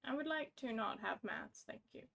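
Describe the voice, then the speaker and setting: A woman's voice: a little high, expressive, normal speed, gravelly, a bit bright. A woman co-hosting a casual livestream, scattered and self-mocking as she talks herself through her own fumbles. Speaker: livestream co-host.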